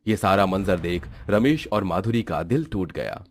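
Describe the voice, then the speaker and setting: Deep-voiced male storybook narrator. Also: animated, normal speed. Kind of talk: scripted storybook narration for a cartoon.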